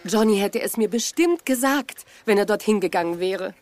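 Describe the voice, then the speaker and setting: A woman's voice: mid-range voice, lively intonation, moderate pace, darkish tone, natural voice. A woman playing a worried farm mother in a German children's audio drama. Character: Misses Price, worried mother on a lonely farm.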